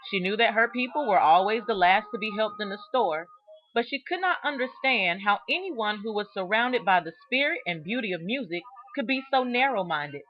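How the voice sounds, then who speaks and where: Bright-voiced woman reading a storybook aloud. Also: mid-range voice, poor audio. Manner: expressive, deliberate storytelling with emphasis on key words. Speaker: storybook reader. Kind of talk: read-aloud narration of a children's biography.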